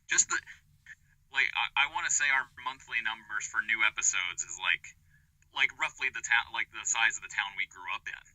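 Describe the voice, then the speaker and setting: A man's voice: on the low side, moderate pace, sharp tone, no echo, low-quality recording. A man chatting casually as an interview guest, slangy and effusive, repeating words for emphasis. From small town in America. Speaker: podcast creator being interviewed. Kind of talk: casual chat.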